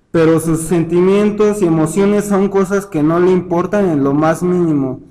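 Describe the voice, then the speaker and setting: A man's voice: high-pitched, a little mellow, poor audio. A man playing a serious young worker who addresses his fellow labourers in a solemn, accusing, oratorical way in an audio story. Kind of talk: dramatized speech in an amateur audio story.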